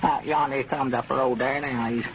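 An actor playing a bossy, folksy older country storekeeper with a drawling rural Southern accent in a 1930s radio comedy. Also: male voice, medium pitch, fairly even intonation, a little fast, close to an everyday voice. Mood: folksy, self-justifying. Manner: folksy, drawling, dialect grammar. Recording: scratchy, muffled 1930s radio recording.

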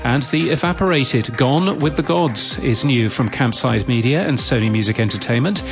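Male podcast news host, mid-pitched, scripted bulletin read over clear music bed. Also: moderate pace.

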